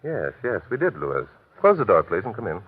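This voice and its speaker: A man playing a shrewd, unruffled detective in a classic radio mystery, polite, methodical and smoothly insistent, with a husky voice. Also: lowish voice, huge pitch swings, fairly quick, background noise. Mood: calm, probing. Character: shrewd, unruffled male detective, courteous and probing. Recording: tinny, narrow-band old radio recording.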